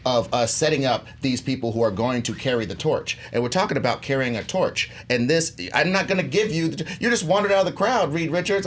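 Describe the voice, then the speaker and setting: A man's voice: medium pitch, a bit bright. A man debating on a pop-culture show in casual, colloquial slang, repeating words for emphasis.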